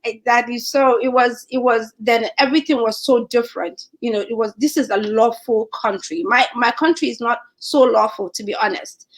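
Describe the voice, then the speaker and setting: A young woman from Nigeria with a Nigerian accent, chatting as a guest in an animated way and laughing often. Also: a little high, moderate pace, hardly any pauses. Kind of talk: casual chat.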